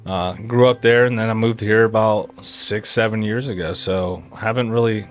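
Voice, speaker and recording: A young man from Erie, Pennsylvania, chatting casually as a podcast guest, animated and full of dude and bro. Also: fairly low pitch, moderate pace, faint music behind. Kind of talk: casual chat.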